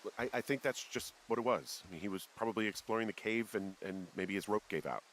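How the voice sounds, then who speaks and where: An American actor playing a weary, uneasy fire lookout in a video game, speaking plainly in halting, muttered conversation. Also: male voice, fairly low pitch, fairly bright tone, slight background noise, close to an everyday voice, rough recording. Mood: somber, anxious. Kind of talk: naturalistic game dialogue.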